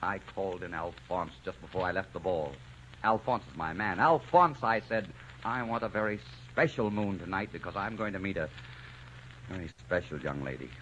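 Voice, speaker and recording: Suave low-voiced romancer, old radio. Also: male voice, moderate pace, a bit bright, a little hiss or hum. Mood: teasing. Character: bold, flirtatious gentleman romancer, suave and teasing. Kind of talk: old-time radio drama acting. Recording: dull old radio recording, music behind.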